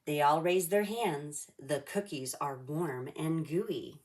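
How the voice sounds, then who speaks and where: Female children's storybook narrator, low register, unhurried and measured delivery. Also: a little mellow.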